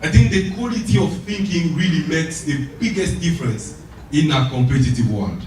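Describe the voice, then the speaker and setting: A man from the Democratic Republic of Congo with a Congolese French accent, whose first language is French, giving a lively motivational speech. He asks the audience rhetorical questions and repeats his key phrases. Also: on the high side, normal speed, slight background noise. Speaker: motivational speaker. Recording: very echoey hall, voice from far off.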